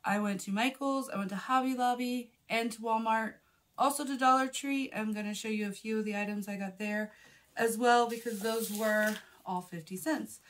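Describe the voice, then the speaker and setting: A woman in her forties talks in a chatty, friendly way, her voice a touch hoarse and husky from a recent illness. She is in a small indoor room, speaking close to a phone camera mic. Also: fairly high pitch. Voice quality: a little husky. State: slightly hoarse after illness. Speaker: vlogger host. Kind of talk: casual shopping haul chat. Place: small indoor room in front of a plain wall. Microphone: phone camera with a clip or shotgun mic at close range.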